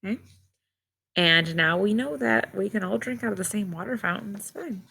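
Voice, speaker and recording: A woman reading a picture book aloud to children like a teacher, pausing to explain things in simple words. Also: medium pitch, gravelly, sharp tone, slight background noise. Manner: simple, child-directed explaining with short phrases. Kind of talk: read-aloud storytelling with simple explanatory asides.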